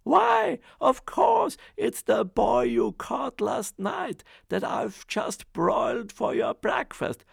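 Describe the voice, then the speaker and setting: A man voicing the ogre's wife, a scolding woman with a high, shrill voice. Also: very animated, takes it slowly, gravelly, few pauses, husky, no echo, clean studio sound, heavily acted.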